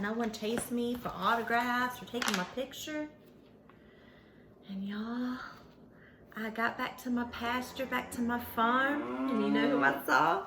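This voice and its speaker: A woman's voice: fairly high pitch, noisy background. A woman voicing a sweet, folksy little female pony, telling her story dramatically and earnestly to children.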